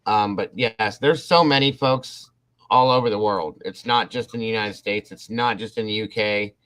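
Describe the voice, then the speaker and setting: A man's voice: on the low side, moderate pace, a bit bright, rough recording. A man hosting a casual livestream, rambling and restarting his sentences as he talks to his viewers. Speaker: livestream host. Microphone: computer mic on a livestream.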